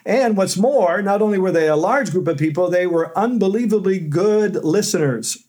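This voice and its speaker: A middle-aged man, a seminary professor, telling stories as a podcast guest in a loud voice with fillers. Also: high voice, lively intonation, normal speed. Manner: loud voice, storytelling with fillers and light laughs. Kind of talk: conversational interview answers.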